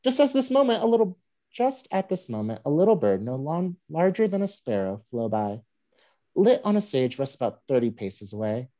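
A woman's voice: low-pitched, sing-song, normal speed, warm tone. A woman playing a wry, self-mocking newspaperman who tells tall tales with dry humour in a staged play reading. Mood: wry storytelling. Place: home room on a group video call.

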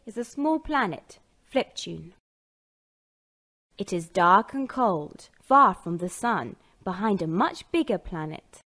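A woman's voice: mid-range voice, very animated, slow pace, fairly bright tone. A woman with an American accent narrating a scripted children's story.

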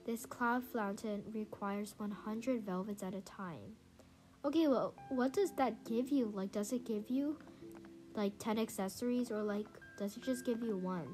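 A young woman with a congested, stuffy voice, casually commenting on her gameplay, chatty and excitable with many fillers. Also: medium pitch, measured pace. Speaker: gaming channel host. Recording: faint music behind. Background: faint game music.

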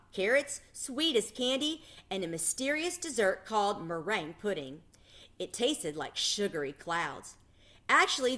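Expressive woman reading a story. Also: medium pitch. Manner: expressive, dramatic storytelling delivery. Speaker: read-aloud storyteller. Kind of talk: reading a children's novel aloud. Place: small home room with bookshelves.

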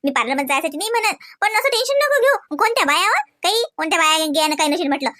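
Woman doing fussy protective cartoon sister-in-law, really high voice, coaxing and insistent, varhadi marathi accent. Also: big pitch swings, rapid-fire, sharp tone, heavily acted. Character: reassuring, fussing sister-in-law, affectionate and protective. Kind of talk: comedy cartoon dialogue.

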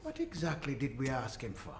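An actor playing a weary male tramp who asks slow, wondering questions in a stage performance. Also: medium pitch, wildly swooping pitch, a little hiss or hum. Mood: questioning. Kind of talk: stage acting. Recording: echoey stage recording.

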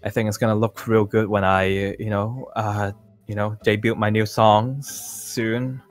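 A man playing a self-assured young male pop singer in an improvised Minecraft roleplay, talking in a halting, rambling, nonchalant way. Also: lowish voice, little pitch movement, close to an everyday voice. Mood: casual, encouraging. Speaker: roleplay performer. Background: music.

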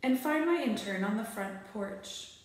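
A woman's voice: mid-range voice, animated, a little slow. Young woman, storybook reader, mid-range voice, recorded in a strongly echoing bare room. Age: young adult. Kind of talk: scripted children's book narration. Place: small bare room indoors.

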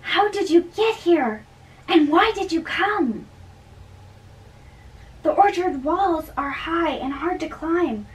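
A woman plays a worried, bashful young noblewoman in love. The voice is high and girlish, in an amateur play reading recorded at a distance from the microphone. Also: expressive, takes it slowly, pauses often, exaggerated voice. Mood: alarmed wonder. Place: small bedroom, indoors. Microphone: far camera.

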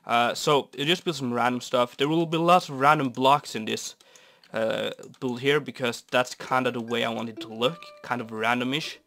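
A young man from Norway, raspy and stuffy from a cold, rambling easily with many fillers while commenting on a building game. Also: mid-range voice, moderate pace, hardly any pauses. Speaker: Minecraft builder. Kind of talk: casual gameplay commentary. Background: soft guitar music.

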